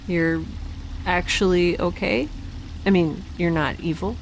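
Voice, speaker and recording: Casting: actress for audio drama space scientist, weary and wry, lowish voice, halting, unhurried delivery. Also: female voice, fairly even intonation, slight background noise, rough recording. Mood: uneasy, hesitant. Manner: hesitant, stammering, wry. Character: weary, rambling young woman scientist stranded in space. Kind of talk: naturalistic audio drama acting.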